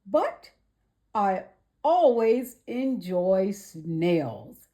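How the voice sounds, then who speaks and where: A woman puts on a friendly male duck for a children's storybook. He talks very slowly and emphatically, drawing out words with big swings in pitch. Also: big pitch swings, dark tone. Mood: candid, cheerful.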